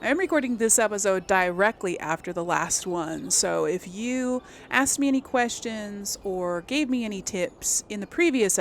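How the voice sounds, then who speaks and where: A woman's voice: fairly low pitch, vocal fry, fairly bright tone, a little hiss or hum. A woman giving casual let's play commentary as host of a gaming video, chatty and thinking aloud as she goes.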